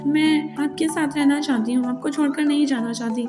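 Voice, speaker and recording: A woman's voice: sits high, fairly even intonation, a little fast, natural voice. A woman voicing a young female bird, an earnest, girlish daughter and bride in a children's cartoon. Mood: pleading. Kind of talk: Hindi children's cartoon dubbing. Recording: echoey, loud music behind.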